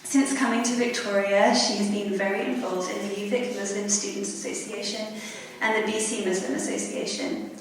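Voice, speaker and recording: A woman moderating an event, introducing a panel of speakers in a measured way. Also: mid-range voice, steady tone. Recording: echoey hall from far off, faint noise.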